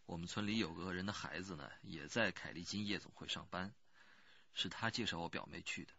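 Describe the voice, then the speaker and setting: A man's voice: sits low, brisk, vocal fry, bright, forceful tone, breathy edge, no room sound, muffled recording, heavily acted. An actor playing an anxious rural working man who pleads earnestly and hesitantly in a radio crime drama. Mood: cooperative, explaining.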